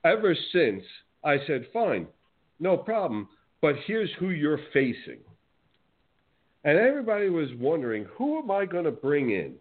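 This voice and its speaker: Brash New Jersey man, lively pitch. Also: a little slow. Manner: brash, outspoken, animated storytelling. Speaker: podcast host. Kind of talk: wrestling podcast talk. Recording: phone-like narrow audio.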